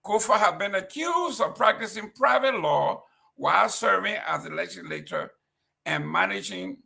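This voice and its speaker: A man's voice: sits high, vocal fry, pushed and bright. A man with a Liberian accent, reading political news and then commenting on it with indignant, emphatic rhetorical questions. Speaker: talk show commentator.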